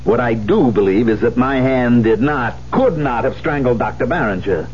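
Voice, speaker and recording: An actor playing an embittered, agitated pianist in a theatrical radio drama style. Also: male voice, medium pitch, normal speed, natural voice. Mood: agitated, disbelieving. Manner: theatrical, insistent. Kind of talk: 1970s radio drama acting. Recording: dull old radio drama recording, hum.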